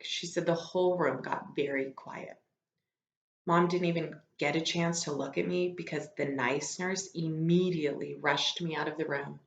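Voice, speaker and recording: A woman reading a story aloud like a teacher to students, expressive and informal, with casual asides. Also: low voice, gravelly. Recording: echoey room, slightly dull lo-fi sound.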